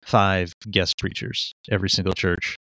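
A man's voice: sits low, flat delivery, normal speed, pushed and bright, no room sound. A man in his forties chatting casually as a podcast co-host, rambling and self-mocking with many fillers and restarts. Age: 40s. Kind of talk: casual chat. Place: Connecticut. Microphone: studio microphone.

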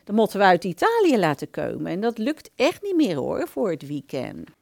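A woman's voice: mid-range voice, wildly swooping pitch, moderate pace, vocal fry, a little mellow, no echo, clean studio sound, full character voice. A woman playing a frank, chatty hairdresser in a radio play, with blunt professional patter. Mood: blunt, explaining.

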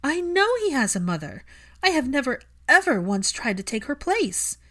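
Woman acting out an impassioned, higher-pitched young heroine. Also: big pitch swings, moderate pace, pushed and bright, exaggerated voice. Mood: furious. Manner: emphatic, impassioned. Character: angry, hurt young woman, fiercely loving and defiant.

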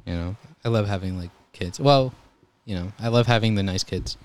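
Calm-voiced young New man. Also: lowish voice, normal speed. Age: young adult. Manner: relaxed, calm, animated when telling stories. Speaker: guest, EMT volunteer. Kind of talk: casual storytelling chat.

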